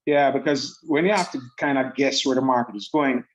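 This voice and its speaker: A man's voice: medium pitch, a little fast, low-quality recording. A man presenting a trading system on an online group call, upbeat and laughing often, with rambling restarts. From Jamaica.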